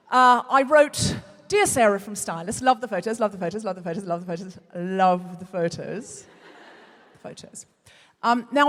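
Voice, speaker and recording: A woman's voice: on the high side, fairly bright tone. A woman hosting a live comedy show, telling dry, self-mocking stories to a big audience. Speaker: podcast host. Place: large concert hall before an audience. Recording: live hall recording with long reverb.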